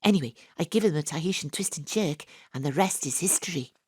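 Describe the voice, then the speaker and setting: An eccentric psychic detective voiced by a woman narrates her own strange adventures with a straight face. Her voice sits fairly low. It is recorded close and dry at a home-studio microphone. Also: fast talker, slightly rough, dry recording. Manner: earnest, deadpan, absurd. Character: eccentric, self-absorbed female amateur psychic sleuth. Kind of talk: comic first-person narration.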